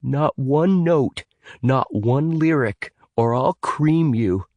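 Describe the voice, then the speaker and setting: A man's voice: mid-range voice, animated, slow, a little mellow, no echo, pitched above the performer's own voice. A man voicing a hot-tempered young male captive who snaps and threatens. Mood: angry. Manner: snappish, threatening.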